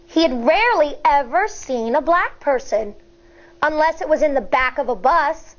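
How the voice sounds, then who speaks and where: A woman teacher reading a picture book aloud to children, expressive and deliberate, stepping aside at times to explain. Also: high-pitched. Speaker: elementary school teacher. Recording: dull, lo-fi sound.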